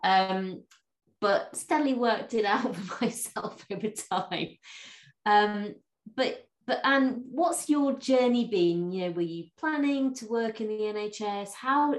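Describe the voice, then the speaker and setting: A woman's voice: mid-range voice, a little slow, echoey room. A woman hosting an interview podcast, chatty and talking with lots of fillers and restarts. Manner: chatty, warm laughs, many fillers and restarts. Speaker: podcast host. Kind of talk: interview conversation.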